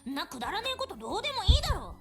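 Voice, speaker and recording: A woman playing a rude, rebellious young mayor's daughter in an anime visual novel, talking in brash, rough slang. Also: very high-pitched, wildly swooping pitch, racing, heavily acted. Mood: angry. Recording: game voice under loud music.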